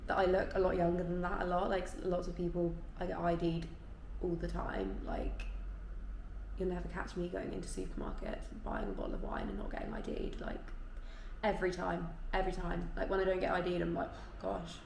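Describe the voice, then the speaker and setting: A woman in her twenties with a slightly low, creaky voice, talking casually as a vlogger. She chats informally, as if to friends. The room is noticeably echoey. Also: brisk, darkish tone. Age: 20s. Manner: chatty, informal, frequent "like". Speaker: vlogger answering viewer questions. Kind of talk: casual chat to camera, Q&A. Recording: echoey room with faint background noise.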